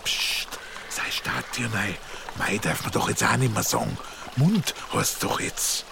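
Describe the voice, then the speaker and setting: An actor playing a kindly, deliberate old peasant grandfather with a Bavarian accent in a radio play. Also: fairly low pitch, animated, vocal fry, full character voice. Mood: hushed correcting. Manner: homely dialect speech, unhurried. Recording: noisy, with background ambience.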